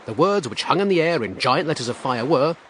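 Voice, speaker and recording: A man's voice: fairly high pitch, lively intonation, fairly quick, a bit bright, a little hiss or hum. A man reading audiobook narration in a wry storytelling manner. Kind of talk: scripted narration.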